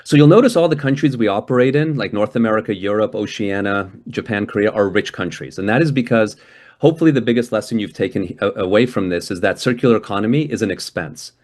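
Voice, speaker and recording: Low-voiced man from Hungary lecturing. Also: darkish tone. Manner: conversational, with frequent restarts and rhetorical "right?". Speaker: guest speaker.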